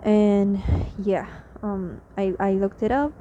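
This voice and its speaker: A woman's voice: mid-range voice, steady tone, takes it slowly, warm tone. A young woman from Mexico who speaks English with a Mexican accent, talking casually and hesitantly in a spoken diary, tired and drained, with many fillers and restarts. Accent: Mexican Spanish. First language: Spanish. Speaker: vlogger. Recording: slightly dull lo-fi audio with faint noise.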